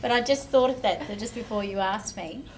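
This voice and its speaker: A woman barrister talking in a lively, animated way on a panel. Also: medium pitch, normal speed. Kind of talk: panel discussion. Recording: noticeable background hiss.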